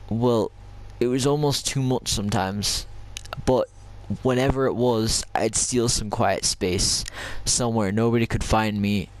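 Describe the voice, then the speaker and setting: A man voicing a quiet, nostalgic former soldier while reading a story aloud. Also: lowish voice, lightly acted, poor audio. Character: soft-spoken male ex-soldier, nostalgic.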